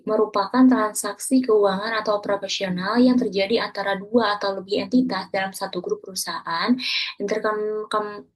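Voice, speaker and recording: Husky woman reading a class presentation. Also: mid-range voice, low-quality recording. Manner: reads steadily from slides, with small self-corrections. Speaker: student presenter.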